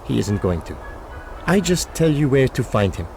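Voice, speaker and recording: An actor playing a shady, guarded male fixer in a video game cutscene, who speaks tersely in a low voice. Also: very animated, moderate pace, vocal fry, darkish tone, faint music behind.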